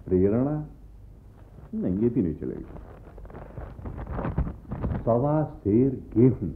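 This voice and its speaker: An actor playing a genial, bookish gentleman in a radio play, musing to himself and narrating a story expressively. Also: medium pitch, big pitch swings, slow pace, a little hiss or hum. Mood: browsing, pleased. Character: bookish gentleman reading stories aloud at bedtime, genial. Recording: muffled narrow-band radio recording with music.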